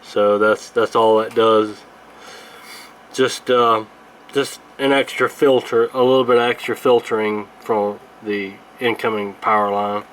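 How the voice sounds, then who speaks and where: A man giving a casual hands-on repair tutorial in a halting, unscripted, explanatory way. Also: fairly low pitch, steady tone, fairly bright tone, poor audio. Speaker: radio repair video host. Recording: clear background noise.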